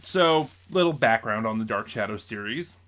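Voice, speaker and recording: A man's voice: fairly low pitch, expressive, moderate pace, a bit bright. A man vlogging a casual unboxing review, explaining things in a rambling way and often correcting himself. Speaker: vlogger reviewing audio drama purchases.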